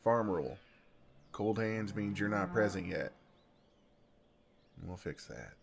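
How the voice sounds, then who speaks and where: Low-voiced, soothing Southern farmhand, acted by a man. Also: animated, slow, vocal fry, slight background noise, exaggerated voice. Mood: tender, reassuring. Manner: easygoing, folksy, soothing drawl. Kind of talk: intimate ASMR roleplay acting.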